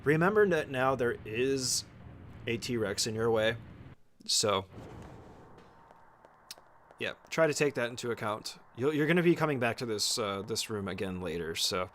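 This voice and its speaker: A man's voice: lowish voice, fairly bright tone, a little hiss or hum. A man giving an informal, step-by-step speedrun tutorial as casual gameplay commentary, swearing freely.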